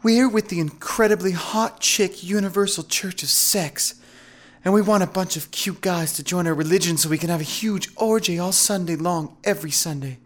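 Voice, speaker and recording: Man putting on a high-pitched seductive female fanatic. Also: moderate pace.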